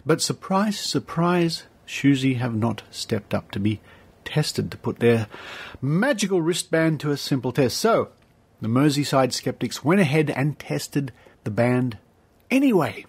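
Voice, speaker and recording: A man's voice: mid-range voice, very animated, unhurried, breathy edge. A man with an Australian accent hosting a podcast, giving informal introductions and announcements in a chatty, playful manner with asides. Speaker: podcast host.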